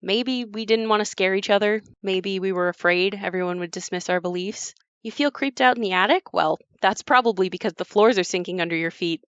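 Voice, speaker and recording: A woman playing an adult narrator who looks back on her haunted childhood home in a scripted audio play, reflective and measured. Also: mid-range voice, clean tone, no echo, highs cut off, natural voice. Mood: reflective, confiding.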